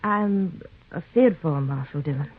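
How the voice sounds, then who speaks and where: A woman's voice: sits low, expressive, moderate pace, slightly rough. Anxious young homestead woman, hard-edged, radio western, thin tinny old recording. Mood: worried, subdued. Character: hard, anxious young frontier woman, eldest-sister type. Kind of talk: 1950s radio western acting.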